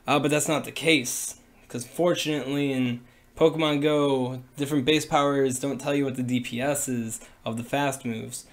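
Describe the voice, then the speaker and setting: A young man with a cold-raspy, hoarse voice, talking casually and rambling with many fillers on a livestream from a small room into a webcam. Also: mid-range voice, steady tone, normal speed. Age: young adult. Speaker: streamer. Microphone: webcam at desk.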